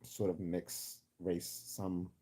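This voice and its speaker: Male livestream host, low husky register, generic Midwestern American accent, casual and opinionated. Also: flat delivery, takes it slowly. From United States. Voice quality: deep, husky, mellow.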